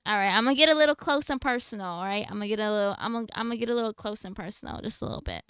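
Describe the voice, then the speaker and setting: A woman's voice: mid-range voice, racing, fairly bright tone, clear, resonant tone, no echo, studio quality. A woman streaming a video game, chatting casually with viewers in a playful, teasing way. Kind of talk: casual live stream chat while playing. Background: game music.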